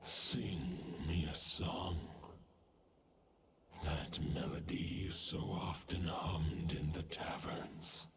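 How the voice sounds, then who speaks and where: A man playing an ancient dying wolf god in a game, solemn and resigned, with a gravelly, breathy voice. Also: sits low, fairly even intonation, drawn-out words, slight background noise. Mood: solemn farewell.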